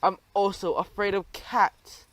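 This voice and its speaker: A man's voice: sits high, fairly even intonation, unhurried, a bit bright, no echo, voice pushed up. A man voicing a timid, easily frightened male mouse who pleads anxiously in a children's storybook.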